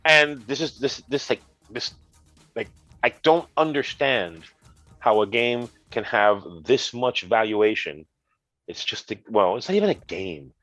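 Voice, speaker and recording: A congested man co-hosting a gaming news chat, making dry, deadpan jokes as he explains the news. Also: mid-range voice, a little slow, creaky, no echo, muffled recording, faint music behind. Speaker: co-host, retro gaming specialist.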